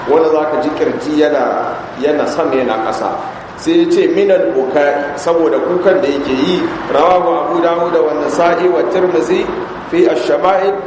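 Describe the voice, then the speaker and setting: A man with a Hausa accent, a Nigerian Islamic scholar teaching in a mosque, reading Arabic lines and then explaining them slowly. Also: a little high. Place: large mosque hall. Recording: echoey hall recording with background noise.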